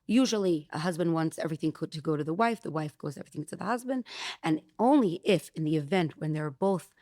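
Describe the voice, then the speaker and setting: A woman attorney calmly lays out her points, walking through examples one by one. Her voice sits low for a woman, and the sound is close and dry. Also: quick pace, dry recording. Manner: explanatory, methodical, gives examples. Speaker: attorney guest. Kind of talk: expert interview explanation.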